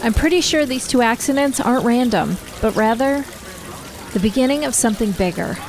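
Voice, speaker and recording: A woman's voice: a little high, animated, fairly quick, noisy background. A woman acting in a radio drama as a guarded but passionate activist, speaking earnestly and insistently. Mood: earnest, confessing.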